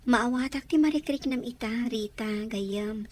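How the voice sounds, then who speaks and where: An actress playing a caring friend who consoles someone, soothing and earnest, in a radio drama. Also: female voice, on the high side, measured pace, dry recording. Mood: soothing, concerned. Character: caring female friend consoling a hurt young woman.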